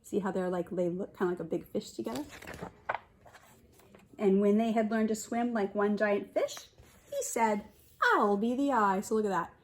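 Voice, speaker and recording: A woman in her forties reading a picture book aloud to young children as a kindergarten teacher, expressive and explaining as she goes. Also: medium pitch, normal speed, long pauses, slight background noise. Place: living room at home, indoors.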